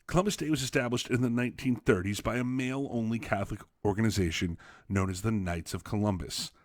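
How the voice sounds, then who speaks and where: A man reading an essay aloud as a teacher, expressively, with emphatic, deliberate phrasing. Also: fairly low pitch, no room sound, studio quality. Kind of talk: expressive read-aloud narration for students.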